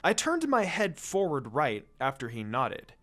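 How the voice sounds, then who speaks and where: A man's voice: on the high side, wildly swooping pitch, a little slow, fairly bright tone. A man narrating a scripted story expressively, reading fan fiction aloud. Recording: music under the voice. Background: music.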